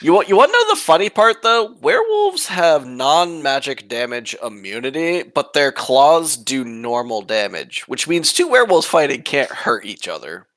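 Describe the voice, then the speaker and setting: A man's voice: a little high, huge pitch swings, moderate pace, hardly any pauses, a bit bright. Man joking about game rules. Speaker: player. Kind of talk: casual game chat.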